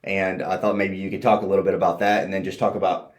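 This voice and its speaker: A man's voice: low voice, flat delivery, fast. A man hosting a casual podcast interview, asking his guest questions in a chatty, friendly way. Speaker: podcast host. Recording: roomy echo.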